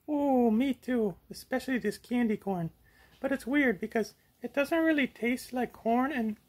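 Squeaky, whiny little puppet monster. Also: male voice, a little slow. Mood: eager, excitable. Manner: whiny, excitable, pleading. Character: whiny, candy-loving childlike puppet monster sidekick. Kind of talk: comic puppet show.

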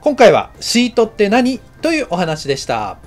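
A man teaching anime directing in a relaxed tutorial voice, acting out footsteps and sound effects as he explains. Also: high-pitched, normal speed, faint music behind. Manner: lively, acts out sounds and footsteps. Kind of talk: casual tutorial narration.

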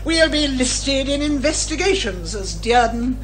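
A bossy British lady detective in an eighties radio comedy drama. Her voice is high and very lively in its swings, brisk and theatrical, with old-fashioned phrasing. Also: poor audio. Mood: brisk, satisfied. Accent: British English. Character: brisk, bossy, sharp-witted amateur woman detective.

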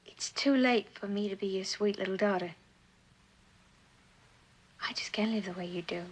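An actress plays a young American woman drifting through life in a vintage suspense drama. She is streetwise and plain-spoken, breezy and blunt with a kind streak. Her medium-pitched voice stays casual and slangy. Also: normal speed, lightly acted, low-quality recording. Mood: frank, breezy. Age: young adult. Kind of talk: mid-century American television suspense drama acting.

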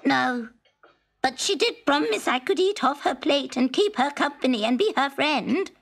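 A woman voicing a small green frog in a storybook, croaky, plaintive and persistently pleading. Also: sits high, expressive, moderate pace, fairly bright tone, voice pushed up, heavily acted. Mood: insistent. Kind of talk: storybook character acting.

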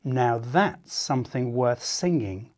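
Animated middle-aged man reading to children. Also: mid-range voice. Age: 50s. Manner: animated, dramatic, deliberate, addressing young listeners. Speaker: children's author reading aloud. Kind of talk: expressive children's storytelling.

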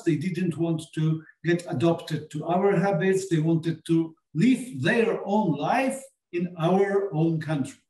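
A man's voice: on the high side, darkish tone. Earnest Polish-accented man, echoey room. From Poland. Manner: earnest, searching for words with many fillers. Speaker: film panel guest. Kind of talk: panel conversation. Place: small meeting room with sofas. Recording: echoey room, voice far from mic.

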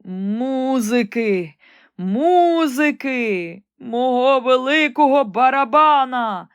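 A woman voicing a frightened old emperor on his deathbed in a storybook reading, crying out in slow, drawn-out pleas. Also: sits high, animated, smooth, dry recording, pitched above the performer's own voice, full character voice. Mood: desperate. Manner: slow, drawn-out pleading cries.

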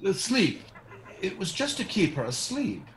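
Man acting a high-pitched cowardly radio villain. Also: huge pitch swings, a little slow, background noise, exaggerated voice. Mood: panicked, pleading. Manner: stammering when scared, drawling taunts. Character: cowardly sneering kidnapper, oily bully turned sniveling. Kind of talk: radio mystery play acting.

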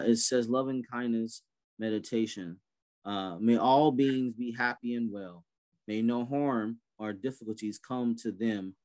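A man in his thirties hosts an online gathering over a video call. He speaks in a lowish voice, easygoing and full of small fillers and restarts. The sound is plain laptop-call audio. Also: poor audio. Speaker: event host. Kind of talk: casual video call hosting.

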